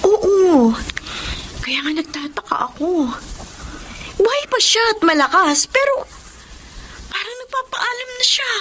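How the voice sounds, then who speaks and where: A high-voiced, emotional young Filipina daughter. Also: female voice, lively intonation, a little slow, long pauses, a bit bright, slight background noise, full character voice. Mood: puzzled, worried. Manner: emotional, earnest, pleading. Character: devoted, distressed young adult daughter of an ailing stepfather. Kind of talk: Filipino melodrama acting.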